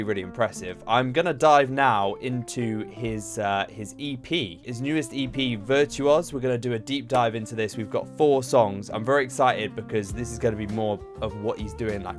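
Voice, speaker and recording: A man hosting a music reaction video, talking in an enthusiastic, chatty way and drawing words out slowly. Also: mid-range voice. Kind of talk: casual reaction commentary.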